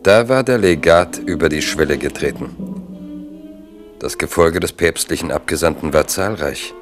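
A man narrating a radio play in a calm, even way. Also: sits low, normal speed, soft background music. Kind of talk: scripted narration.

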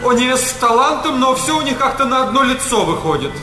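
An actor playing an earnest serf painter on stage, deferential and matter-of-fact, with quiet pride in his craft. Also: extremely high pitch, sing-song, brisk. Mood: earnest, proud. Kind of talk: classical Russian stage acting. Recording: echoey theatre hall, clear music behind.

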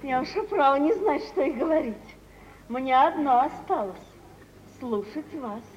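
A woman's voice: high-pitched, animated, a little slow, darkish tone. An actress playing a fawning middle-aged mother with effusive, deferential manners, in an old theatrical radio play. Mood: meek, agreeing. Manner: effusive, deferential, exaggerated politeness. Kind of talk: 1940s theatrical radio acting. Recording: dull, noisy vintage radio play recording.

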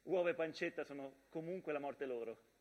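An actor playing the war-scarred land itself as a weary narrator in a theatrical monologue. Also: male voice, on the high side, brisk, lightly acted. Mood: wry. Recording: long reverberant theatre hall.

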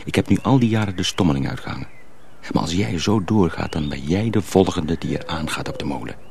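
Deep, gravelly, secretive mill hand. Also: male voice, lively intonation, darkish tone. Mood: earnest warning. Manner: deliberate, hesitant, confiding, repeats himself. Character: sly young miller's apprentice who plays the simpleton, secretive, knowing. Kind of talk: radio drama acting. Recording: faint background noise.

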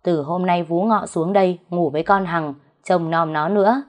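A stern older matriarch of a country household read by a woman narrator in an audio storybook. She scolds sharply and hands down orders in a slightly low female voice. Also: fairly even intonation, normal speed, darkish tone, close to an everyday voice. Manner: scolding, commanding.

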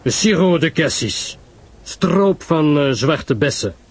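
A man playing a courteous, grave foreign detective in an audio drama, speaking in a formal, measured way. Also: a little high, lively intonation, husky, pitched above the performer's own voice, heavily acted. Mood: polite.

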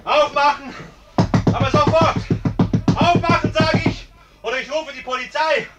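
An actor playing a pompous, self-righteous middle-aged householder in a German radio play, blustering and indignant. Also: male voice, extremely high pitch, steady tone, normal speed, loud music behind the voice. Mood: agitated shouting.

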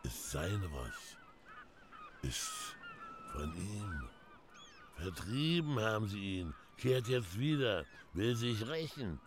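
Brooding old seer, slow, low. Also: male voice, wildly swooping pitch, full character voice. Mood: ominous, prophetic. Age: older. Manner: ominous, insistent, repeating himself. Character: brooding old village seer with second sight. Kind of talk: German radio play acting. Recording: outdoor-sounding scene, honking geese, clear noise.

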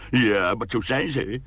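A man's voice: mid-range voice, a bit bright, slightly rough, no echo, full character voice. A man voicing a rambling old pub cadger who stammers and repeats himself in a storybook reading. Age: older. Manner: stammering, repetitive, chuckling rambler.

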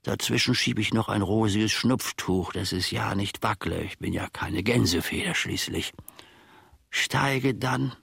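Gravelly, slow, melancholy manservant. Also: male voice, lowish voice, a bit bright, dry recording, studio quality, heavily acted. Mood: brooding, rambling. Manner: ruminative, dramatic, halting delivery with long pauses. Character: melancholy, wryly self-mocking old manservant looking back on his life. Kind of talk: radio play monologue.

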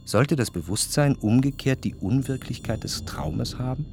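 An actor playing a reflective, cultured man who recalls his past in a literary radio drama, with measured, precise diction. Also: medium pitch, lively intonation, vocal fry, music in the background. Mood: uneasy. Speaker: narrator.

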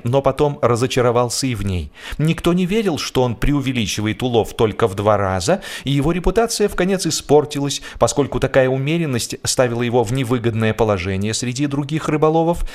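A man reads humorous literary narration for radio in a wry, theatrical way, leaning deliberately on key words. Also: mid-range voice, expressive. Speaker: audiobook narrator, actor.

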